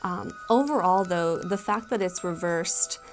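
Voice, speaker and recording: A woman working as a tarot card reader, talking casually and tentatively as she gently explains a spiritual reading. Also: fairly low pitch, measured pace, soft background music.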